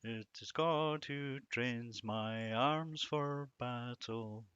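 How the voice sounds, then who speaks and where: A man giving an informal devotional commentary on verses, musing and halting, with self-deprecating asides. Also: mid-range voice, fairly bright tone, clear, resonant tone, no room sound, highs cut off. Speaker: host of a Bible reading series.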